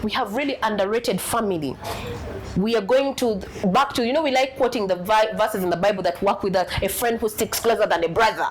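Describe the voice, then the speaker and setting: Strong-voiced woman speaking with deep feeling. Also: fairly quick, low-quality recording. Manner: emphatic and heartfelt, repeating words when moved. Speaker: interview guest. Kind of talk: candid conversational interview.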